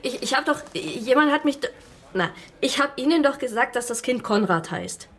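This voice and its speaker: A flustered, pleading taxpayer. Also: female voice, high-pitched, expressive, moderate pace, fairly bright tone. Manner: hesitant, pleading, full of fillers. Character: flustered disorganized woman taxpayer Frau Holm. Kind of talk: radio drama acting.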